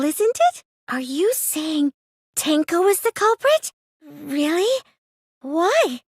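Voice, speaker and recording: A very high, girlish voice for a plain and nerdy teenage girl in an anime mystery game. She sounds meek and mild, with a hesitant, questioning delivery. The sound is close and dry, with no room around it. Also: dry recording. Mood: puzzled, hesitant. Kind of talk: anime video game English dub acting.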